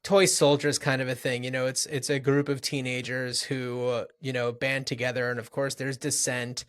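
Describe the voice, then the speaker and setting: Guy cohosting a movie podcast, mid-range voice, loose chatty delivery, kinda thinking out loud. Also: mid-range voice, little pitch movement, normal speed. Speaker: podcast co-host. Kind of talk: casual movie discussion.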